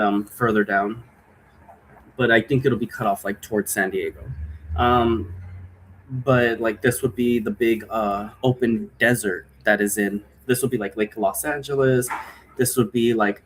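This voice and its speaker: A man's voice: lowish voice, slight background noise, low-quality recording. A man giving a casual, rambling explanation in an informal way, often checking for agreement. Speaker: game creator explaining rules.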